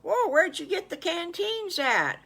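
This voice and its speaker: A woman voicing an excitable, tender-hearted young orphan wolf in a children's story, with exclamations and repeated words. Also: medium pitch, a little slow. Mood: startled, curious.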